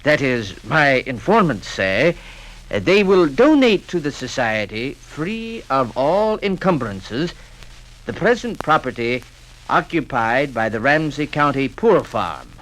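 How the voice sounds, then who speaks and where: A man's voice: mid-range voice, very animated, takes it slowly, fairly bright tone, low-quality recording. Cagey, swooping civic delegate man. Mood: cagey, conspiratorial. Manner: hesitant, hedging, evasive. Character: sly, cagey male Saint Paul civic delegate. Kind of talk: 1950s radio acting.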